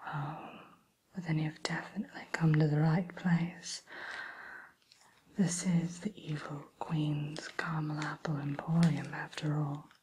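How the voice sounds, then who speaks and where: A woman playing a sly, lonely evil queen shopkeeper in a whispered ASMR roleplay, speaking in a coy, genteel, teasing way with quiet menace. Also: low voice, level tone, a little slow, slight background noise, poor audio. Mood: sly, inviting. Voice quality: breathy, mostly whispered. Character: sly, lonely evil queen selling cursed caramel apples. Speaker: roleplay shopkeeper addressing a customer.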